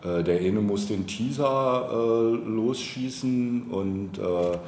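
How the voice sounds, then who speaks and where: A man speaking as a politician in a casual interview, halting as he searches for words. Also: lowish voice, darkish tone. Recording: roomy echo, clear background noise.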